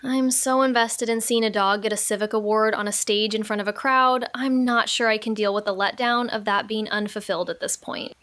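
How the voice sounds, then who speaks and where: A woman's voice: medium pitch, fairly even intonation, a little fast, few pauses, fairly bright tone, close to an everyday voice. A woman playing a wry, playful best friend, bantering with earnest enthusiasm in an audio drama. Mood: amused, bantering. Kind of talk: scripted fiction podcast acting.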